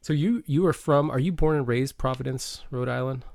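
A man's voice: medium pitch, normal speed, vocal fry, a little mellow, dry recording. A man hosting a podcast in casual interview chat, agreeable and a little tentative as he works toward his questions. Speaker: podcast host.

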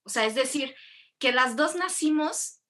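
A woman's voice: high voice, lively intonation, a little slow, fairly bright tone. A woman from Mexico, hosting a casual interview and rambling animatedly with plenty of self-corrections. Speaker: host.

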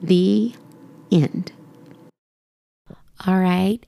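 A woman reading a children's story aloud as expressive narration for young listeners. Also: on the low side, drawn-out words, gravelly. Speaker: podcast storybook reader.

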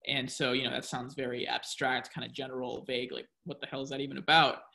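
A man's voice: medium pitch, flat delivery, fast talker, creaky, sharp tone. A man speaking as a podcast guest, a professor who talks through his story in a reflective, explaining way. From United States. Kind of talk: casual interview talk.

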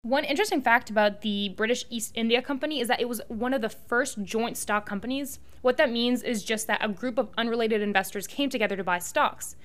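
A woman co-hosting a scripted history podcast, explaining facts in a brisk way. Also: a little high, fairly bright tone. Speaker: podcast co-host.

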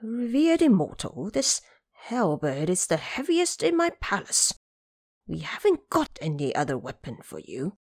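A woman's voice: lowish voice, wildly swooping pitch, measured pace, darkish tone, exaggerated voice. A woman voicing an old male Dragon King, nervous and deferential, in an audio drama. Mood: nervous, apologetic.

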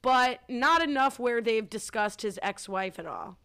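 A woman's voice: a little high, lively intonation, fairly bright tone, clean tone. A woman co-hosting a podcast, chatting casually about a TV show with a wry, teasing manner. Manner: wry, teasing, quick asides. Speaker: podcast co-host.

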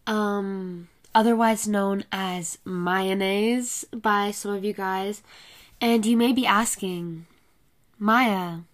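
An eighteen-year-old woman hosting her own podcast, talking in a casual, blunt way with a congested, nasal voice and a lot of swearing. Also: mid-range voice. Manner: chatty, blunt, swears freely. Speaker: podcast host. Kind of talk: casual solo podcast chat. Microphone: laptop camera with a separate mic.

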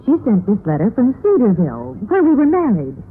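An actress playing an earnest, scheming middle-aged housewife in a chatty, confiding manner in a vintage radio sitcom. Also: female voice, medium pitch, wildly swooping pitch, a little fast. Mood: confiding, eager. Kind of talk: 1950s radio sitcom acting. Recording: tinny, noisy old-time radio recording.

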